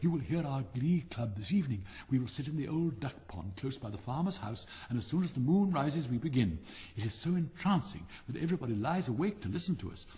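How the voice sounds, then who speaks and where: A man's voice: mid-range voice, big pitch swings, fairly quick, dark tone, close to an everyday voice. A man reading aloud and voicing a boastful, endlessly chatty mother frog who gushes and laughs as she talks. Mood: gushing. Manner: gushing, chattering, laughing between phrases.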